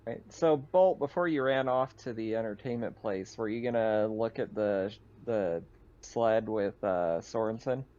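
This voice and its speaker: A man's voice: on the low side, a little slow, warm tone. Man game-mastering, slightly dull recording. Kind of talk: running a tabletop roleplaying game, conversational.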